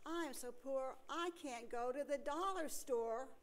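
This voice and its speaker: A woman's voice: sits high, a little slow. A woman playing a wheedling, money-hungry cousin in an amateur staged play reading.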